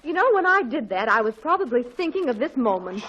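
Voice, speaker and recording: A reassuring mother in a 1940s radio comedy. She speaks calmly in a fairly high voice. The vintage recording is dull and very noisy. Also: brisk.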